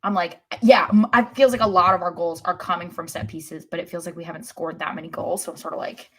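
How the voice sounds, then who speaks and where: A woman's voice: fairly low pitch, steady tone, fast, fairly bright tone, husky. A woman co-hosting a sports podcast, chatting casually with dry, sarcastic humor. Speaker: podcast co-host.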